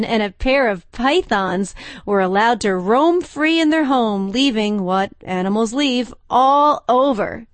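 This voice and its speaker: A woman reading a scripted radio news story in a crisp, wry newsreader delivery. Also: a little high, dry recording. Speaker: news reporter.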